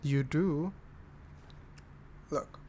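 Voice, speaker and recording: A young man from Indonesia with an altered British accent, talking softly and rambling in a boyfriend ASMR roleplay. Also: on the high side, very slow, a little hiss or hum. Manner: soft, rambling, self-deprecating.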